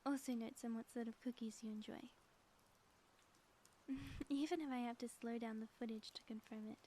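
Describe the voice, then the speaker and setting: A high, mellow female voice acted out by a woman plays a sappy yet theatrical ex-villain wife in an ASMR roleplay. She is breathy and teasing, drifting toward whispers, with faint noise behind her. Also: steady tone, normal speed, lightly acted. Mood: fond, teasing. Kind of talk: intimate ASMR audio roleplay.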